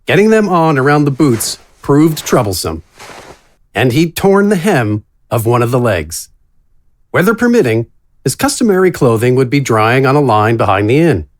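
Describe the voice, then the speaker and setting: A man's voice: mid-range voice, very animated, normal speed. A man narrating a scripted fantasy story as an audiobook-style narrator.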